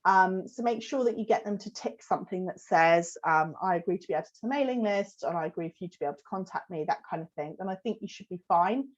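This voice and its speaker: A woman, croaky from a cold, giving a conversational business webinar and answering questions from the chat. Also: mid-range voice, expressive, fast talker, few pauses, muffled recording. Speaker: host giving a business talk. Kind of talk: live webinar with Q&A.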